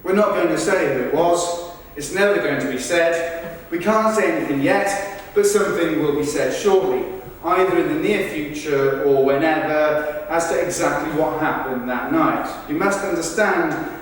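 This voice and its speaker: A man's voice: a little high, moderate pace. Man performing monologue, far off in echoey hall. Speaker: stage performer. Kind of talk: rehearsed verbatim theatre monologue. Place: large reverberant indoor rehearsal room. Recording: distant, very echoey rehearsal hall.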